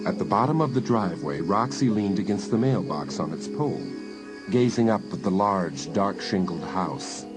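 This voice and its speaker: A suspense storyteller reads a teen thriller in a measured, dramatic way. His voice sits slightly low, and music plays loudly underneath. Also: lively intonation. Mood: suspenseful. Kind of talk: scripted suspense narration. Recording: loud music behind narration.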